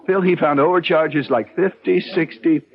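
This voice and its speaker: An actor playing an exasperated, slangy, crooked corporate executive, talking emphatically in a 1970s radio drama. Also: male voice, on the high side, moderate pace, close to an everyday voice. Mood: exasperated, amazed. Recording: dull 1970s radio broadcast recording.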